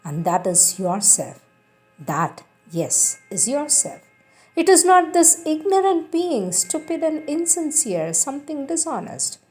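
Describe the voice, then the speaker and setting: High-pitched woman reading devotional text, lively intonation. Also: measured pace. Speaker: reader of spiritual texts. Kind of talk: devotional reading aloud of spiritual teachings. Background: music.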